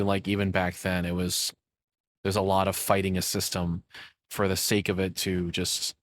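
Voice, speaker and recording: A man chatting casually as a livestreamer, rambling and excitable, with informal speech and mild swearing. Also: fairly low pitch, dry recording. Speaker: livestreamer and audio roleplay voice actor.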